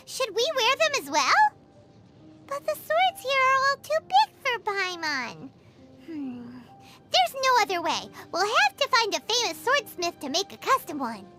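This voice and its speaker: A woman voicing a tiny, excitable, pouty fairy companion in a childlike, squeaky voice for a video game. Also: a little slow, heavily acted. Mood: indignant, eager. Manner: refers to self in third person, exclamatory. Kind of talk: animated game voice acting. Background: background music.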